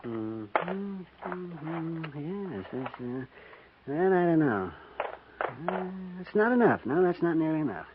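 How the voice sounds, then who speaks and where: A fussy frontier storekeeper who loves his money. His voice sits a bit high and moves around a lot as he mutters, hesitates and repeats himself. It comes through a tinny, noisy 1950s radio western recording. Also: sing-song, takes it slowly. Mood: absorbed, pleased.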